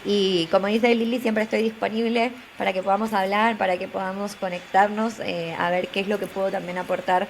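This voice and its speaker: A woman's voice: medium pitch, little pitch movement, a little fast, hardly any pauses, a little hiss or hum, low-quality recording. An earnest young woman from Argentina with a Buenos Aires accent, giving encouraging advice as an interview guest over a video call. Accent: Buenos Aires Spanish. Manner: earnest, encouraging, repeats phrases for emphasis. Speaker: interview guest, career coach. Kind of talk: motivational interview talk.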